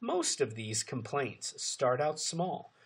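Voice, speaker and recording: A man reading scripted instructional narration as a narrator, with emphatic, deliberate phrasing. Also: medium pitch, wildly swooping pitch, slightly rough.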